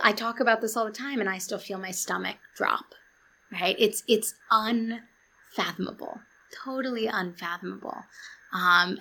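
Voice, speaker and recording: A calm, earnest woman speaks in a reflective interview, searching for words and circling back to her point. Her voice is bright and forceful, with a gravelly fry at the ends of phrases. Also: mid-range voice. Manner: earnest, searching, restarts and quotes books. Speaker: guest, therapist.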